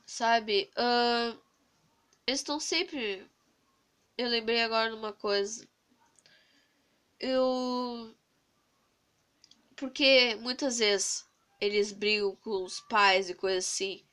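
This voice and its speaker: Hoarse, stuffy-nosed Brazilian young woman. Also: fairly high pitch, fairly even intonation, takes it slowly, pauses often, a bit bright. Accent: Brazilian Portuguese. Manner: earnest, venting, rambling with restarts. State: congested with a cold, hoarse. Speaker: vlogger. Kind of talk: casual vlog rant. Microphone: phone camera held close to the face.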